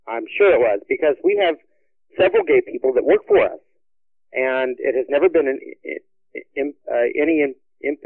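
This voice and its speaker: A man working as a store manager, answering a call in a hesitant, apologetic way with many halting restarts. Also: medium pitch, dry recording. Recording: tinny phone line.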